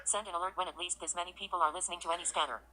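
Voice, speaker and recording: Synthetic female screen reader, lowish pitch. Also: racing. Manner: clipped, flat announcements of menus and buttons. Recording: clearly noisy, with clicks and tapping.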